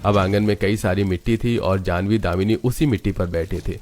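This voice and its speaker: Low-voiced man narrating a story. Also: steady tone, a little fast, darkish tone. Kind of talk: scripted moral-story narration.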